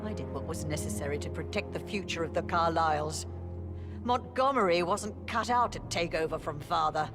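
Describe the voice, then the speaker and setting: A woman's voice: low-pitched, normal speed, music in the background, natural voice. An actress playing a cold, commanding older woman who heads a rich family, speaking in an imperious, clipped way in video game dialogue. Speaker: wealthy family matriarch.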